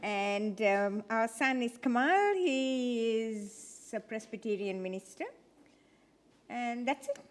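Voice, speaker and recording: A woman's voice: fairly high pitch, animated, takes it slowly. A woman in her seventies from Sri Lanka, giving a chatty church talk with self-deprecating humour. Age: 70s. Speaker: guest speaker. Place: church hall.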